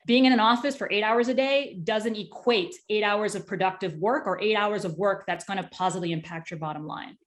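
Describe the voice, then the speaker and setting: A woman interview guest talking conversationally, in a candid, excitable and talkative way. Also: medium pitch, brisk, hardly any pauses. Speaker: tech startup executive, interview guest.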